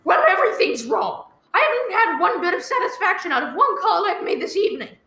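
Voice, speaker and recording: A woman playing a nervous, demanding bedridden wife in an old-time radio thriller, agitated and stammering with shrill complaints. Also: big pitch swings, fairly quick, bright, forceful tone, full character voice. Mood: indignant. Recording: roomy, echoing live recording.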